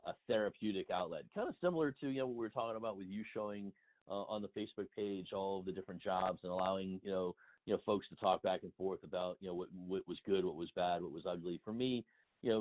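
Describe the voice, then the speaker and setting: Middle-aged male interview guest, low voice, emphatic and wordy, thin phone-line sound. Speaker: interview guest, industry consultant and blogger. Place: remote phone call.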